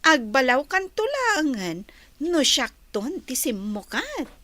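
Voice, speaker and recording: A woman playing a boastful bright-voiced office worker. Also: high voice, huge pitch swings, slow, no room sound, full character voice. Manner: boastful, teasing. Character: boastful female office worker, proud and teasing. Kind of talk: radio-drama acting.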